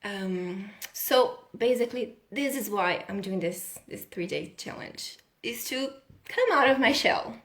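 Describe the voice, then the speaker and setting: A woman's voice: medium pitch, lively intonation, unhurried, fairly bright tone. A woman in her thirties from Brazil, a healing coach talking casually on a live video and laughing often. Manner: chatty, laughs often, restarts sentences.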